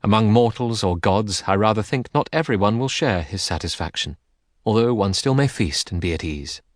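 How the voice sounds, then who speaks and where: A man's voice: on the low side, animated, normal speed, no room sound, natural voice. Casting: imperious, bitter goddess queen voiced by a man for an epic audiobook, scornful delivery, dry sound. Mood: cool, bitter. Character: haughty, bitter queen of the gods. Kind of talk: dramatic audiobook character reading.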